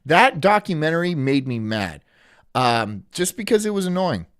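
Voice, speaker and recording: A man's voice: a little high, normal speed, smooth, dry recording, clean studio sound. Rambling joking man streaming live. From Florida. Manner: rambling, joking, deadpan absurd asides. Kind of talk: casual live-stream chat reacting to a video.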